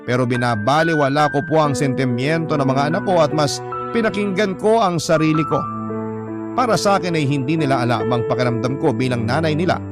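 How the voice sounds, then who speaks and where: A man with a mid-pitched voice and a Filipino accent reads a dramatic first-person story as narrator. Loud instrumental music runs underneath. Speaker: narrator reading a letter sender's story.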